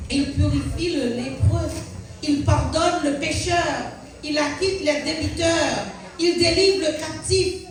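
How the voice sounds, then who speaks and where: A woman declaims a sacred poem with solemn emphasis. Her voice is high and her phrases come slowly and deliberately. The sound is heavily reverberant, as if spoken in an echoing room. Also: slight background noise. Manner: solemn, emphatic, declamatory, repeated rhetorical questions. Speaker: reciter of a religious poem. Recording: very echoey room, distant mic.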